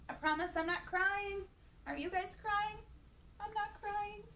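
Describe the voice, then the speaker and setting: High-voiced woman reading a children's bedtime story. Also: unhurried, bright, forceful tone, distant mic, slight background noise. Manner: playful, asks young listeners questions, exclaims. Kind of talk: animated picture-book reading for young children.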